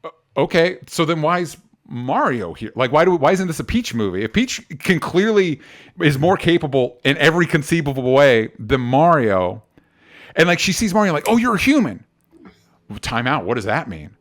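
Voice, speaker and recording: A man's voice: a little high, sing-song, moderate pace, fairly bright tone. A man co-hosting a movie podcast, giving opinions in a casual, argumentative and exasperated way with some swearing. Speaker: podcast co-host reviewing movies.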